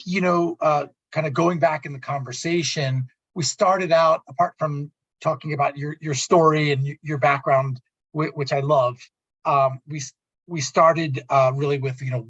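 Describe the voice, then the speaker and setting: Male interviewer, conversational but stumbling over restarts, rough-edged voice, muffled echoey audio. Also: medium pitch, a bit bright. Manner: halting, repeats and restarts words. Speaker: radio talk show host.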